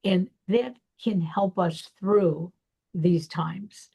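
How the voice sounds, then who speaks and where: A woman with a gravelly voice hosting a podcast, telling a personal story in a reflective way. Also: lowish voice, extremely slow, warm tone. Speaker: podcast host. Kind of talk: personal conversation.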